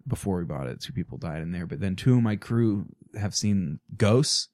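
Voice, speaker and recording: Very deep man in dry studio. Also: lively intonation, moderate pace, a little mellow, no echo. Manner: crude joking banter. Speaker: podcast guest. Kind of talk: casual chat. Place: small podcast studio.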